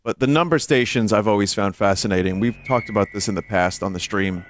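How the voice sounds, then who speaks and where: Male streamer, low voice, casual commentary mixed with reading aloud, faint background noise. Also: fairly quick. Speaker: streamer and host.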